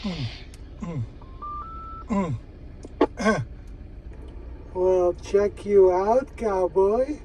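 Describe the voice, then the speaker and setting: Guy on ham radio, kinda high mellow voice, folksy, repeats himself, noisy far-off mic. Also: lively intonation. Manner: folksy, repeats phrases, drawn-out pauses. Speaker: amateur radio operator. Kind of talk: casual radio chat.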